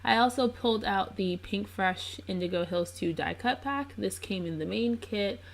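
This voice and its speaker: Congested woman with a gravelly voice. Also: medium pitch, moderate pace. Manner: chatty and relaxed, with frequent ums. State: congested, under the weather. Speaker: scrapbook crafter narrating her process video. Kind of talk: casual voice-over narration.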